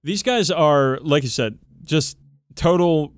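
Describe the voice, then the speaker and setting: A man's voice: a little high, slow, clear, resonant tone, no room sound. A man hosting a podcast, chatting casually and enthusiastically about game lore with emphatic swearing. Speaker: podcast host. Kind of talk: casual, profane hobby chat explaining game lore.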